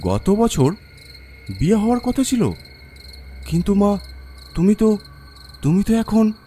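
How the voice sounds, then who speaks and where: A man's voice: high voice, big pitch swings, takes it slowly, mellow, slight background noise, voice pushed up, exaggerated voice. A man voicing a kindly, brave older village watchman in a horror cartoon, earnest and fatherly. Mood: shocked. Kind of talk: horror cartoon story acting.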